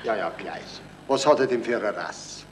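An actor playing a dismissive, offhand police desk officer with a Bavarian accent and a dry wit, in a 1970s radio crime comedy. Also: male voice, on the low side, moderate pace, lightly acted. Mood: humouring. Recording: 1977 radio play, faint noise.

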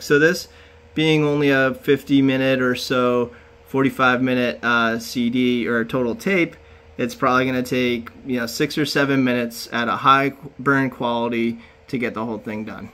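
A man in his thirties hosting a casual step-by-step computer tutorial, talking through each click in a relaxed, plain way. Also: medium pitch, normal speed. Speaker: tutorial host.

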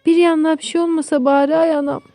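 High-pitched, concerned peasant wife, acted by a woman. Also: little pitch movement, fast, warm tone, no echo, soft background music.

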